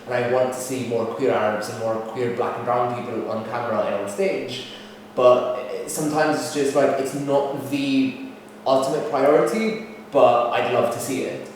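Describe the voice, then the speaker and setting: A man's voice: medium pitch, fairly even intonation, moderate pace, slight background noise. A man from Egypt, a theatre director, talking casually and thoughtfully, full of fillers. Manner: thoughtful, agreeing, frequent hums and fillers. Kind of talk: casual conversation. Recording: very echoey room heard from far off.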